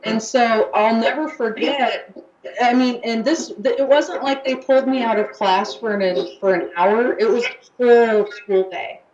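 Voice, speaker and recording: A woman's voice: fairly high pitch, a little slow, darkish tone. Casting: young American woman, chatty radio guest, roomy, slightly dull recording. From United States. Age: young adult. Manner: chatty, with fillers and restarts. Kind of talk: casual radio talk.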